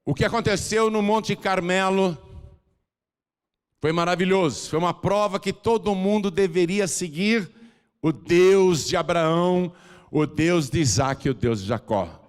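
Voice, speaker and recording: Pastor preaching in a reverberant hall. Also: male voice, clean tone. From Brazil. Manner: swings from low confiding talk to loud rising exhortation, drawn-out repetitions. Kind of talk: altar-call sermon. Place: large church hall.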